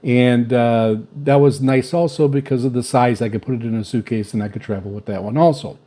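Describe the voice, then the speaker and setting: A man with a mellow, relaxed voice at an average pitch talks casually through a gear review. He speaks without a script, in a halting, meandering way. Hesitations and fillers break up nearly every phrase. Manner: halting, rambling, hesitant with very frequent filler pauses. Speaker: shortwave radio hobbyist reviewing antennas. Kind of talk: unscripted casual product talk.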